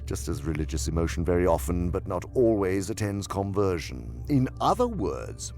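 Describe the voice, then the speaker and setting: An imperious old-guard demon for audio theater. The voice is deep and creaky, with wide theatrical swings. He lectures slyly and pompously, relishing his words, over prominent music. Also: sing-song, moderate pace, darkish tone. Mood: sly instructing. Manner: pompous, theatrical, savoring words with dramatic emphasis. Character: senior male demon, sly, imperious mentor in temptation. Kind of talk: theatrical radio drama dialogue.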